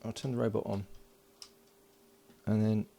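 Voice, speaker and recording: A man giving relaxed, matter-of-fact running commentary as he solders at a home workbench, recorded by an overhead camera. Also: fairly low pitch, a little slow, creaky. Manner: mutters along while working, relaxed and matter-of-fact. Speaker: electronics hobbyist. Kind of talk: casual build commentary.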